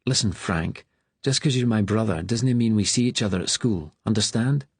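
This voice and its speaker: A man's voice: lowish voice, moderate pace, slightly rough, dry recording. A man voicing a cold, stony teenage boy prefect with a Scottish accent, curt and impatient. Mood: cold, dismissive.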